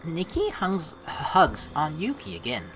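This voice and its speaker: Low-pitched, animated woman gamer. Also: unhurried, faint music behind, low-quality recording. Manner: playful, teasing. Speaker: let's play host. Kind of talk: game commentary mixed with expressive read-aloud narration.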